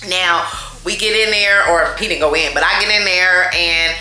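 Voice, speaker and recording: Loud chatty woman doing a storytime, bright voice, echoey room. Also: fairly low pitch, moderate pace. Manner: chatty, animated, addresses viewers as y'all. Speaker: storytime vlogger. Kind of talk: casual storytelling to viewers.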